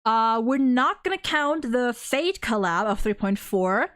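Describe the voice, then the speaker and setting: A woman livestream host chatting casually and excitably, rambling as she goes. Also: on the high side, a little slow. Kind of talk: casual chat.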